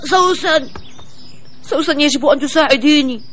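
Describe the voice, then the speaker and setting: A man's voice: very high voice, moderate pace, fairly bright tone, slight background noise. A man voicing an earnest, put-upon bird hero in a dubbed children's cartoon, speaking expressively. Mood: distressed, pleading.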